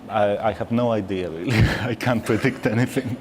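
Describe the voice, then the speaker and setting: Relaxed low-voiced man from Greece. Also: moderate pace, slight background noise. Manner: relaxed and candid, laughs easily. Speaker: panelist. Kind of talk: panel debate answer. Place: debate hall in a parliament building.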